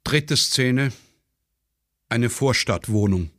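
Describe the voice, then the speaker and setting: A man's voice: medium pitch, lively intonation, very slow. A man soberly reading stage directions in clipped phrases, as the narrator of a radio play.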